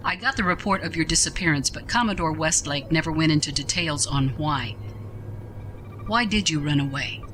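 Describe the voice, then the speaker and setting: A woman's voice: low voice, normal speed, a bit bright, a little hiss or hum. A woman playing an authoritative starship captain in a science fiction audio drama, with a commanding, formal manner. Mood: firm, concerned.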